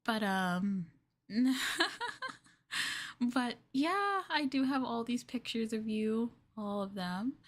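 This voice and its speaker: Woman acting as obsessive yandere girlfriend, ASMR roleplay, soft and sweet, slightly high pitch, slow pace, hesitant, doting.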